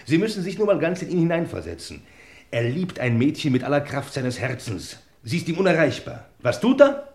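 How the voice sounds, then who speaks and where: An actor playing an eager, theatrical police detective in a German crime radio play, insistent and emphatic. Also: male voice, a little high, very animated, a little fast, a little mellow.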